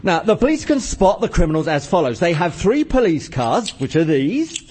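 A man's voice: high-pitched, very animated, moderate pace, darkish tone, breathy edge, heavily acted. A man playing a pedantic, easily exasperated English husband in a comic play. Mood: laboriously explaining. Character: exasperated, pedantic husband insisting on explaining rules. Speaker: actor in a comic stage play. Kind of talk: British farce dialogue.

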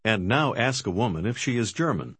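Male narrator, lowish voice, husky, lively intonation, dry studio sound. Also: no room sound. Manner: clear, measured prompting. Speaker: language course narrator. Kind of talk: scripted instructional narration.

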